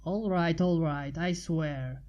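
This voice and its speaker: A woman's voice: low-pitched, takes it slowly, a little mellow, highs cut off, voice pushed down. A woman voicing a blunt, teasing, skeptical female friend in a story reading. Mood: sarcastic. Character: sarcastic, skeptical woman friend, easily alarmed.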